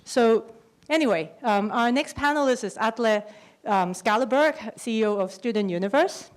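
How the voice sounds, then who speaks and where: A woman's voice: on the high side, normal speed. A woman moderating a conference panel, introducing each speaker with a playful tone and frequent ums. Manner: bright, playful, with fillers. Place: conference room.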